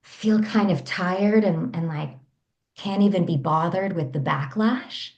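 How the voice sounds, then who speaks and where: A woman guest speaking candidly about her own life. She pitches her voice on the low side and talks softly and reflectively, often restarting her sentences as she thinks aloud. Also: a little slow, lo-fi sound. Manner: soft, reflective, with frequent restarts. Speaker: guest, women's coach. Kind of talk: candid personal storytelling.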